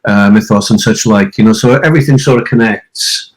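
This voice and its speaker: A man's voice: fairly low pitch, brisk, low-quality recording. A man from Wales whose first language is Welsh, chatting at length as an interviewed author and producer, rambling with many fillers. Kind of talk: casual interview talk.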